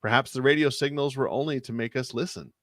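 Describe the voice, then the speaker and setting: A man reading the lines of a calm, logical male science officer aloud from a book. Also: medium pitch, quick pace, no room sound, studio quality, lightly acted.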